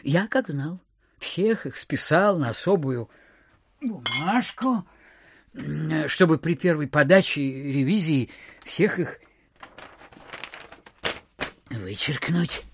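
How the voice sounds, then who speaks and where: An actor playing a miserly, suspicious old landowner in an audio drama, muttering fussily and breaking into grumbles and exclamations. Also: male voice, a little high, animated, slow, vocal fry, a little hiss or hum, heavily acted. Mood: grumbling, sly. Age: older. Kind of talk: theatrical audio drama acting.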